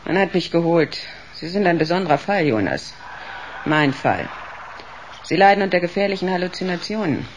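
An actress playing a cold, authoritative professor of psychiatry in a radio drama, measured and clinical. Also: female voice, lowish voice, expressive, a little hiss or hum, natural voice, rough recording. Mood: coolly clinical. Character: cold, authoritative female professor running a locked psychiatric ward.

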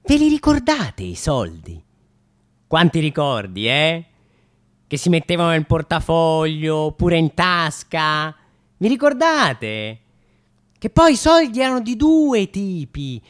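A man's voice: sits high, smooth. A man in his late twenties performing a satirical radio comedy monologue, theatrical and mock-nostalgic, with rhetorical questions and hesitant repetitions. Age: late 20s.

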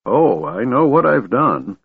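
A man's voice: mid-range voice, big pitch swings, slow, creaky, slightly rough, no room sound, narrow, tinny audio, voice pushed up, heavily acted. A man voicing a doddering, pompous, absent-minded old wizard in an audiobook, muttering and indignant. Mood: indignant, flustered.

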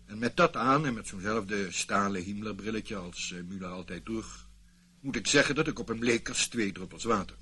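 An actor playing a guarded, indignant middle-aged former army officer under questioning in a radio play, curt and evasive. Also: male voice, fairly low pitch, moderate pace, bright, forceful tone, husky. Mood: reluctant recounting.

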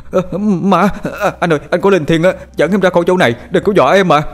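A man's voice: medium pitch, lively intonation, fast, voice pushed up, lightly acted. A man voicing a cowardly, clingy younger brother with a frantic, whiny, pleading manner in a horror story. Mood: scared, pleading. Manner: wailing, pleading.

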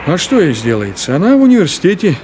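An actor playing a talkative, bossy, bantering middle-aged city driver in a naturalistic Soviet radio play of the 1970s. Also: male voice, high voice, wildly swooping pitch, fast, darkish tone. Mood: chatty, wry. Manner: bantering, chatty, bossy, colloquial. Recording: radio play with clear background noise. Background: car and street traffic ambience.

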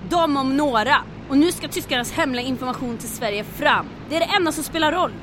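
A woman acting a bold, commanding, unflappable aeroplane captain in an adventure radio drama. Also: close to an everyday voice. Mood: brisk, commanding. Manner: brisk, commanding, confident. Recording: aircraft engine rumble.

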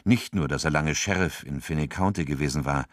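A man's voice: rumbling low, a little fast, no room sound, clean studio sound. A man narrating a radio drama from a script, in the role of storyteller.

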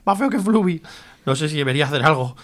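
A high, whiny, overwrought Spanish son. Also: male voice, expressive, quick pace, fairly bright tone. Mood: bewildered. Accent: Spanish from Spain. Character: confused, whiny young slacker son, anxious and slangy. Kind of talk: radio serial acting.

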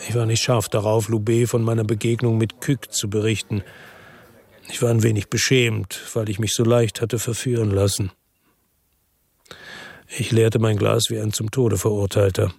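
A man's voice: lowish voice, little pitch movement, vocal fry, darkish tone, breathy edge, no room sound. An actor playing a brooding investigator who narrates his story in a radio drama. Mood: somber. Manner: slow, somber narration. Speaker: first-person narrator.

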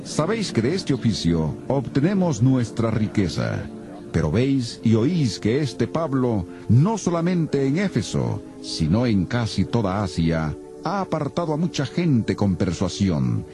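A man's voice: on the low side, sing-song, measured pace, music in the background. An actor playing an agitated silversmith who persuasively stirs up his fellow craftsmen in a dramatized audio Bible. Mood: urgent, indignant. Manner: rhetorical, persuasive.

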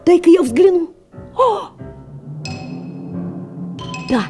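An actress playing a curious, excitable schoolboy in a children's radio play, eager and boyish in manner. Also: female voice, very high voice, very slow, music in the background, exaggerated voice. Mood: curious, excited. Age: adult playing a child.

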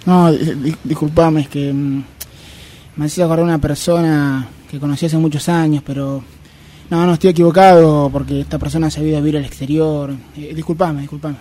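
A man's voice: fairly high pitch, flat delivery, brisk, warm tone, a little hiss or hum, lightly acted. A man with a Buenos Aires accent playing a lovestruck, insistent, awkward man in amateur radio theatre, earnest and hesitant. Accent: Argentine, Buenos Aires.

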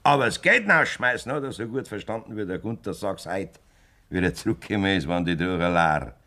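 A man's voice: sits low, a little fast, exaggerated voice. An actor with a Bavarian accent playing a scheming, blunt aging farmer who talks down to his wife in a radio play. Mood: boastful. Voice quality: blunt, self-assured and lively in tone.

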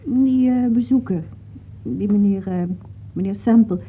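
A woman's voice: medium pitch, unhurried, lightly acted. A woman playing an anxious, inquisitive wife of a writer in a Dutch radio play. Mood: probing, concerned. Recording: muffled, noisy old radio play recording.

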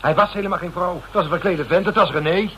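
A man's voice: high-pitched, pushed and bright, dull audio. Guilt-ridden, stammering man, noisy recording. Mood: agitated, defensive. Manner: halting, stammering, repeats words. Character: frightened, guilt-ridden man confessing to his detective colleagues. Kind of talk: Dutch radio drama acting. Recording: noisy old radio drama recording.

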